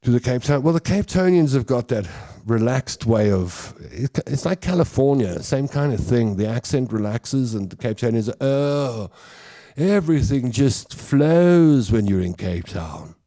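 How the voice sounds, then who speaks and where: A man's voice: medium pitch, animated, measured pace, dark tone. A man from South Africa with a South African accent, hosting a show with a chatty, rambling comic monologue and laughing at his own stories. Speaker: host.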